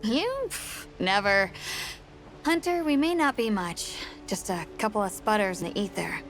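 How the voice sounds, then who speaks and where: A woman's voice: medium pitch, lively intonation, measured pace, fairly bright tone. An actress voicing a hearty woman smith in a game cutscene, folksy and encouraging. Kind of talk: video game cutscene acting. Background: game score music.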